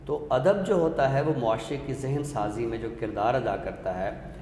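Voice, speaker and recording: A man explains literature as a talk show guest, measured and scholarly, often posing rhetorical questions. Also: mid-range voice, a little mellow. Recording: echoey hall-like room with faint noise.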